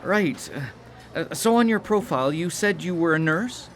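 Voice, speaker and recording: A man's voice: on the high side, lively intonation, moderate pace, soft background music. A man playing an awkward, stammering, defensive blind-dater in a radio drama. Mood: nervous.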